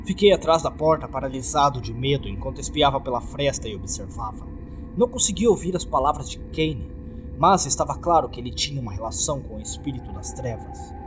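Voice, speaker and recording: A Brazilian man narrates a horror tale over background music. His medium-pitched voice moves expressively, with dramatic storytelling phrasing throughout. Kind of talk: scripted dramatic creepypasta narration.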